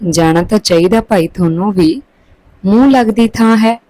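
A woman's voice: mid-range voice, normal speed, warm tone, dry recording, close to an everyday voice, low-quality recording. A woman voicing an older mother-in-law in a story, affectionate in manner but subtly needling. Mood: gently needling. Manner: sweetly taunting, blessing.

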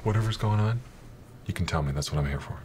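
Man playing a deep-voiced calm office superior. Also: fast, natural voice. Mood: smooth reassurance. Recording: film clip with music behind.

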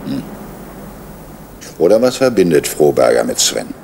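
An actor playing a calm, authoritative male police captain in a radio crime drama. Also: very deep voice, measured pace, a little hiss or hum, full character voice.

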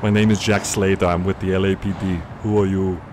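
Action-hero cop played by a man: very low voice, unflappable wisecracker, radio play over noisy street sound. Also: a little fast, lightly acted. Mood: cool, deadpan. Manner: deadpan, nonchalant. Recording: noisy city and traffic background.

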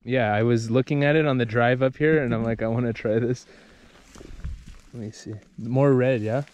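A man's voice: mid-range voice, steady tone, moderate pace, a little mellow, highs cut off. A man from Hawaii hosting an outdoor vlog, talking enthusiastically as he explores and often exclaims. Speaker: vlog host. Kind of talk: casual on-camera vlog narration. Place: outdoors on a mountain hike.

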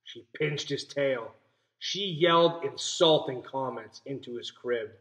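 A man's voice: medium pitch, slow, fairly bright tone, rough recording. A young man teaching a read-aloud lesson for young children, explaining simply and stressing key words. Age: young adult. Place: small indoor room at home. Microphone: webcam or laptop mic at arm's length.

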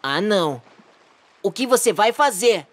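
A man's voice: sits high, lively intonation, unhurried, no echo. Man voicing a weary Brazilian cartoon dinosaur, swooping delivery. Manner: sighing, plaintive, long-suffering. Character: weary, exasperated big male dinosaur, older brother. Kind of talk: cartoon voice acting.

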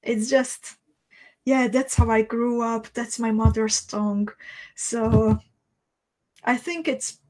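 A woman in her thirties with a Hungarian accent, a native Hungarian speaker, chatting casually and haltingly as she hosts a livestream. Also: on the high side, a little mellow, low-quality recording. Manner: halting, thinking aloud. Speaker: host of a live beading tutorial. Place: small indoor craft room.

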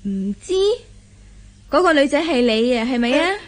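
A woman's voice: high voice, animated, normal speed. An actress playing a caring mother in a radio drama, coaxing secrets out of her daughter in a knowing, inquisitive way. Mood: teasing, probing. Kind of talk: Cantonese radio drama acting.

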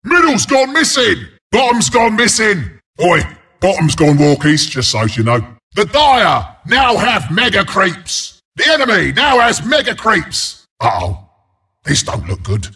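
An actor voicing a scrappy, cocky porcupine brawler as a video game announcer, with a London Cockney accent, a gravelly rasp and cheeky, blunt banter. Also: male voice, sits high, wildly swooping pitch, measured pace, sharp tone, heavily acted. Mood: cheeky, brash. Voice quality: gravelly, raspy.

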